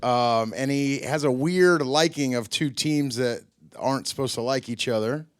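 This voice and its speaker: A man's voice: mid-range voice, normal speed, clean tone, no echo. A man hosting a casual radio interview, chatting informally with a guest in a studio. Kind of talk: casual chat. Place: radio station's live studio.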